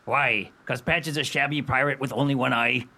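An actor playing a shabby, lovesick one-eyed pirate with rustic, ungrammatical speech in a comic radio play. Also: male voice, medium pitch, fairly even intonation, normal speed, sharp tone. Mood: lovesick, humble. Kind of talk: stage pirate radio comedy acting.

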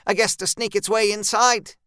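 Man voicing a high, urgent rural woman, resolute. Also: steady tone, moderate pace, sharp tone, clean tone, no room sound, studio quality. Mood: grim, urgent. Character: gritty rural woman, blunt, resolute monster-fighting neighbor. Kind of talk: dark horror audiobook character voice.

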